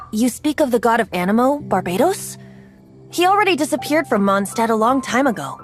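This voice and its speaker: A woman voicing a youthful, boyish male bard in a video game, whimsical and theatrical, with a playful, wistful lilt. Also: fairly high pitch. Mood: breezy. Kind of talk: video game character acting. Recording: music behind the voice.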